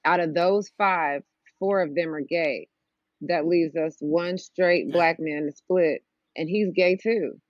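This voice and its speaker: A woman's voice: on the low side, slow pace, no room sound. A woman comedian chats casually as a podcast guest. The recording is a little dull and lo-fi, with a very dry sound. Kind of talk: casual chat. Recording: slightly dull, dry lo-fi audio.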